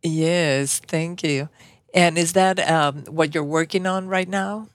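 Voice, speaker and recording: An interviewer asking warm, appreciative questions in easy conversation. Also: medium pitch, steady tone, slow pace, dry recording, clean studio sound.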